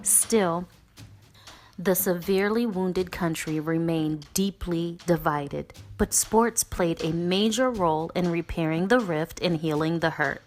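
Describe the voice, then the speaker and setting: A woman narrating a children's book as a read-aloud, in an expressive, deliberate way. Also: fairly low pitch, soft background music.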